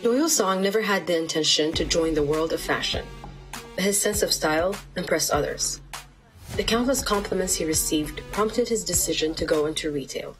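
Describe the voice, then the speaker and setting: Low-voiced woman narrating over soft music. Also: moderate pace, poor audio. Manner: even, polished voice-over delivery. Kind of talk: scripted documentary narration.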